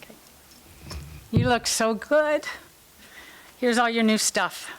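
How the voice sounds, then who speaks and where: A woman's voice: fairly high pitch, takes it slowly, clean tone, rough recording. A woman playing a caring but firm legal guardian, patient and explaining, in a staged reading of a play. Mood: fond.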